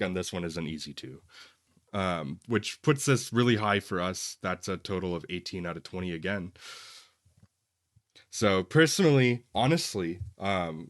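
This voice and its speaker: A man's voice: low-pitched, fairly bright tone, dry recording, clean studio sound. A man co-hosting a podcast, casually talking through a film review in a conversational, opinionated way. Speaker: podcast co-host.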